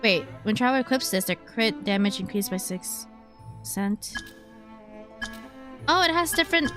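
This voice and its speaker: A woman's voice: medium pitch, expressive, normal speed, a bit bright, soft background music. A woman VTuber giving casual playthrough commentary, playfully musing aloud and reading game text.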